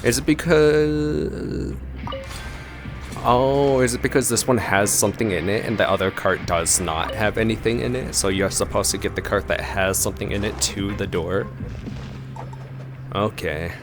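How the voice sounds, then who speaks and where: A man with a low-pitched voice streams a video game. The commentary is casual and playful, often addressed to the game itself. Game music is clearly heard behind the voice. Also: steady tone, moderate pace.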